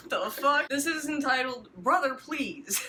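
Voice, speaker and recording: Woman, bright forceful voice, comedic story reader with incredulous, foul-mouthed commentary. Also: high-pitched, a little hiss or hum. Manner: sweary, incredulous asides. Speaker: comedy show host reading fan fiction. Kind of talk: comedic read-aloud with banter.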